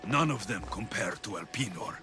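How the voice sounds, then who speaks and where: A young mercenary hero from a video game, speaking over clear background music. He is wary and blunt, with a brooding, vengeful edge. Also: fairly low pitch, expressive, moderate pace, sharp tone. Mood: suspicious. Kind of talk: video game cutscene acting. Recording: music behind the voice.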